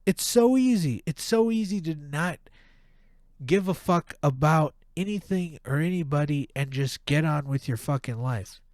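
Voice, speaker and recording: A man's voice: on the high side, lively intonation, normal speed, darkish tone, no echo, studio quality. A man with a stuffed-up nose, hosting a podcast and ranting with heavy profanity in a casual monologue. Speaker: podcast host.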